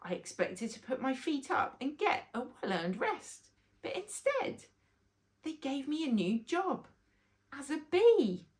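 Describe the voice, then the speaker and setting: A woman's voice: high-pitched, pitched above the performer's own voice. A woman voicing a kindly, earnest old grandad who is now a talking bee in a children's storybook.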